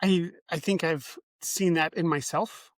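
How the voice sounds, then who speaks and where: A man's voice: fairly high pitch, fairly even intonation, measured pace, no echo, studio quality. A male podcast host interviewing a guest, friendly and curious, asking questions with some hesitations. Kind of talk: interview hosting.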